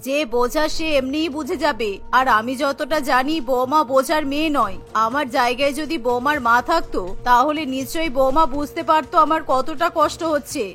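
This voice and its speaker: A woman's voice: sits high, a little fast, hardly any pauses, fairly bright tone, faint music behind, voice pushed down. A woman voicing an ailing, complaining elderly mother-in-law in a cartoon, plaintive and reproachful. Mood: aggrieved. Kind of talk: cartoon voice acting.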